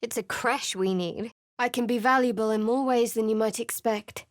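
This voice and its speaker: Guarded and sardonic, this young female cleric speaks in a fairly high, dry-edged voice, as an actress plays her. Her remarks come out cool and sarcastic, recorded close and completely dry in a studio booth for a game. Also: normal speed, dry recording, lightly acted. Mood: wry. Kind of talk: video game voice lines. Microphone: close studio mic.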